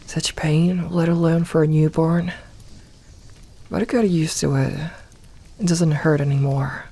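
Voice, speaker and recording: A woman voicing a gentle, comforting young werewolf in a soft ASMR roleplay, talking intimately and reassuringly to one listener with light humour. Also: low-pitched, steady tone, unhurried. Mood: fond, reflective. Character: gentle, comforting young female werewolf, playful self-styled lone wolf.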